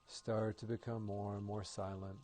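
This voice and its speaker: A man leading a guided meditation as an instructor, speaking in a calm, gentle and soothing manner. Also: fairly low pitch, normal speed, slight background noise.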